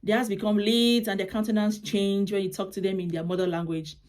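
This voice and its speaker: A young woman with a Nigerian accent talks straight to camera, sharing practical advice in a relaxed, chatty way. Her voice sits at a middle pitch, and she often repeats or restarts a phrase mid-thought before carrying on. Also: brisk. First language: Yoruba. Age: young adult. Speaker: vlogger. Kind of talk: casual advice vlog.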